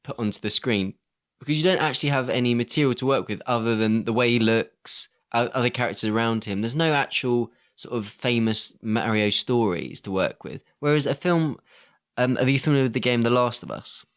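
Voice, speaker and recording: A man's voice: medium pitch, fairly quick, a bit bright, clean tone. A man co-hosting a film podcast, leading a casual discussion in a conversational, questioning way. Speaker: podcast co-host.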